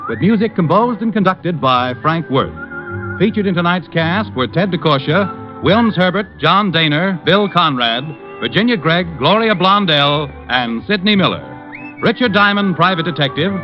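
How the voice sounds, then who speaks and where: A man's voice: fairly high pitch, animated, normal speed. An American man announcing a vintage radio show in a formal, scripted style. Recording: dull vintage radio recording, music behind.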